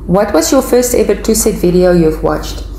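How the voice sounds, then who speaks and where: A woman's voice: mid-range voice, lively intonation, moderate pace, dark tone. A woman in her forties with a South African accent, chatting casually to viewers as she answers their questions. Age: 40s. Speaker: video channel host. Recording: echoey room with faint background noise.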